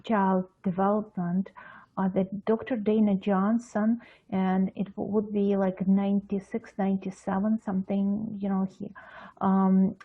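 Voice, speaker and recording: A woman's voice: medium pitch, breathy edge. A middle-aged woman from Russia with a Russian accent, a psychologist answering interview questions hesitantly, with many fillers, restarts and non-native grammar. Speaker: pediatric psychologist guest. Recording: phone-like lo-fi call audio.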